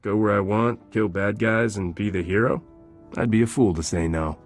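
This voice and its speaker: An actor playing a cocky, wisecracking smuggler captain in a video game. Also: male voice, lowish voice, steady tone, normal speed, faint music behind, natural voice.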